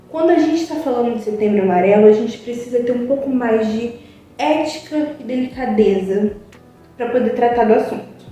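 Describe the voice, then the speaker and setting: A young woman, a psychologist, talks in a mellow, fairly high voice, earnest and reflective, often pausing on a drawn-out filler. She sits back from the mic in a strongly echoing room with faint music underneath. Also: recorded from a distance. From Brazil. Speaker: psychologist guest. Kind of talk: informal spoken talk to a web TV channel.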